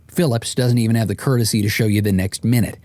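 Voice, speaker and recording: A man's voice: lowish voice, fairly even intonation, fast talker, a little mellow, no room sound. A man reading a scripted audiobook as its author, telling it in a wry, comic way with exasperated emphasis. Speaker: author and podcast host narrating.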